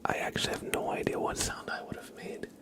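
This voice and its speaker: A man speaking in a soft, breathy whisper, chatting casually and unhurriedly as an ASMR livestream host. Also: brisk.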